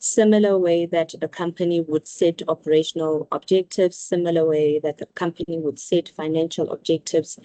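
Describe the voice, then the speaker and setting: A woman manager at a rail operating company interviewed for a corporate video, speaking deliberately and pausing on fillers. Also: sits low, steady tone, warm tone, no room sound, poor audio.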